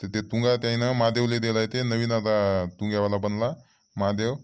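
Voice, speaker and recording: A man's voice: lowish voice, level tone, normal speed, a little mellow, smooth, lightly acted. A man playing a harried, fretful village farmer in a comic cartoon, muttering complaints in a Vidarbha Marathi accent. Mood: grumbling.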